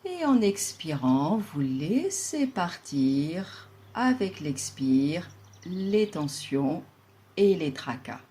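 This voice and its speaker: A woman leading a guided yoga relaxation as an instructor, speaking in a calm, soothing and deliberate way with long pauses. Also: lowish voice.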